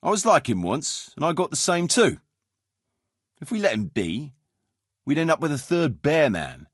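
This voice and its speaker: Blunt roguish mercenary captain, lively intonation. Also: male voice, a little high, normal speed, breathy edge, no echo, clean studio sound. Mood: gruffly fond. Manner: colloquial, world-weary, blunt. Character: gruff roguish medieval mercenary captain, firm but fond. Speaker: game character, band leader. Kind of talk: video game dialogue acting.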